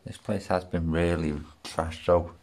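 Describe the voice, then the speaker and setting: A man's voice: low voice, flat delivery, unhurried, a little mellow. A British man giving casual, informal exploration commentary to his viewers on a handheld camera indoors. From United Kingdom. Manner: informal, addresses viewers as guys. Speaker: urban explorer vlogger. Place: inside a small derelict cottage. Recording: noisy handheld camera audio.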